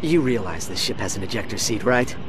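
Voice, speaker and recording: A man's voice: on the low side, expressive, brisk, background noise, natural voice. Man as a blunt young alien pilot hero. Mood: exasperated. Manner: casual, blunt, impatient. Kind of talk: animated game cutscene acting.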